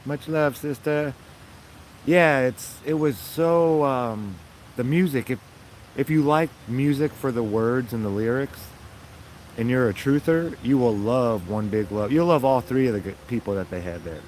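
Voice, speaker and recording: Raspy man, mid-pitched, easygoing and enthusiastic, talking to camera outside. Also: unhurried, a little mellow, a little hiss or hum, rough recording. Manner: rambling, enthusiastic, upbeat. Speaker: vlogger. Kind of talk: casual chat to viewers.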